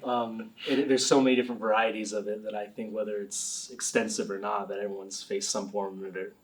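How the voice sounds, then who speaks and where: A man's voice: on the low side, little pitch movement, brisk, far from the mic, a little hiss or hum, rough recording. A man in his twenties talking and asking questions in a group discussion. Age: 20s. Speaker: interview participant.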